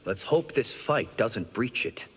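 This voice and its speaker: Courteous fighter, lively intonation. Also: male voice, medium pitch, unhurried, breathy edge. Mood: respectful. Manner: polite, earnest. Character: courteous, respectful male fighter. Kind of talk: video game dramatic acting. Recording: long reverb, loud noise and clicks.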